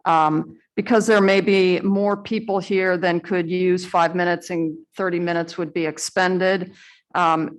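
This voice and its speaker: A woman presiding over a school board meeting, explaining the rules in a measured, procedural way with the odd filler. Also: on the low side. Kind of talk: chairing a public meeting.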